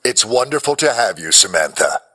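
A man's voice: on the low side, sing-song, brisk, pushed and bright, full character voice. A man playing an old-time radio announcer introducing a sponsored mystery show. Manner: smooth announcer delivery. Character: 1940s male radio announcer. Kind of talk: 1940s radio acting. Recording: radio show with clear music.

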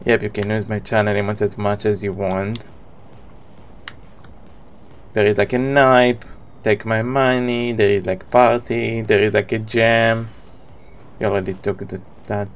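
Low, creaky Israeli male streamer. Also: little pitch movement, a little slow, a little hiss or hum. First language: Hebrew. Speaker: video game live streamer. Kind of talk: casual live stream chat with viewers.

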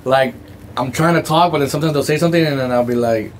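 Young man, co-host, joking banter, rapid talk, patio, faint ambience. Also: medium pitch, slight background noise. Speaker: podcast co-host. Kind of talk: casual chat. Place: outdoor restaurant patio.

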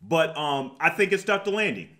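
A man's voice: on the high side, big pitch swings, normal speed, sharp tone. Man chatting casually, circling back through phrases. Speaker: co-host of a movie review show. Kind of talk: casual unscripted movie review chat. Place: indoor room, seated before a projection screen.

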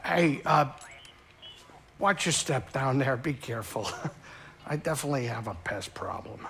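Folksy alien pilot, animated voice. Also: male voice, medium pitch, unhurried, creaky, a little hiss or hum. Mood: cautioning. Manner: folksy, streetwise, informal. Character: gruff, caring older male alien pilot, small and four-armed. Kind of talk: video game cutscene acting.

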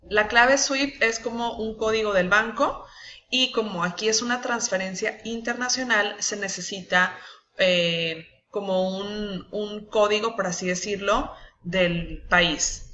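A woman's voice: mid-range voice, slow pace, sharp tone, lo-fi sound. A young woman with a Mexican accent, patiently walking viewers through a website step by step and checking in with them often. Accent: Mexican Spanish. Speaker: nutritionist walking team members through a website. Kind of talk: screen-recorded tutorial.

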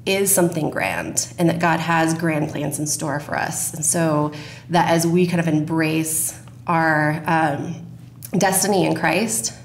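A woman talking casually as a producer guest on a podcast. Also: sits low. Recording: roomy echo, noticeable background noise.

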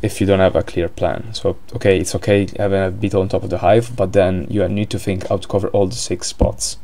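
A man's voice: deep voice, mellow. A man with an Italian accent commentating a board game live, hesitant, with many fillers and restarts.

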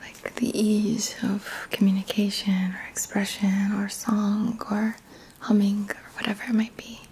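A woman whispers softly as an ASMR host, breathy and mostly unvoiced. Her delivery is very gentle and halting, with a slow pace and a mellow, even tone. There is faint noise behind her close voice. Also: medium pitch, poor audio. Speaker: ASMR host, energy healing guide. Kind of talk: ASMR, whispered guided session. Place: small quiet indoor room.

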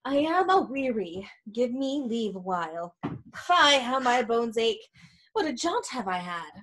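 A woman's voice: fairly high pitch, expressive, measured pace. A woman reading the part of a weary, complaining old nurse in a Shakespeare play, dramatic, groaning and stalling.

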